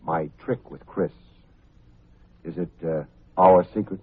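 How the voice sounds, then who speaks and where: An actor playing a tough, wry but good-hearted private detective in old-time radio style, with a hardboiled, wisecracking manner. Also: male voice, deep voice, fairly even intonation, slow, husky, full character voice. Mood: quiet, confiding. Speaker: lead actor and narrator. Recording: muffled old radio recording, music behind.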